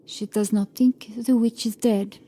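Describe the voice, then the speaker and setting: Margot, a haughty, indignant prioress, voiced by a narrator. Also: female voice, a little high, fairly even intonation, unhurried, dark tone. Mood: guarded. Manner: haughty, emphatic. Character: Margot, stern, indignant prioress, defensive woman.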